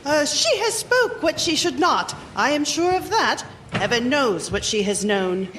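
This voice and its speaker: A woman playing a stage actress who declaims formally as an earnest, watchful Shakespearean gentlewoman in an audio drama. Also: high voice, lively intonation, measured pace, slight background noise. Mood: uneasy.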